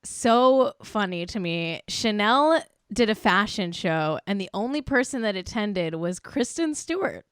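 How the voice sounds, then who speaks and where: A woman's voice: mid-range voice, lively intonation, unhurried, hardly any pauses, clean tone, no room sound, studio quality. A woman co-hosting a casual chat show, giggly and chatty, swearing now and then. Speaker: co-host.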